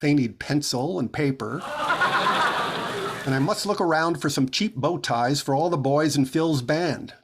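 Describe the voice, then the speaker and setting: A man's voice: fairly high pitch, a little slow, a little hiss or hum. A man playing a fussy, thrifty comedy star in the style of 1940s radio. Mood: fussy, self-assured.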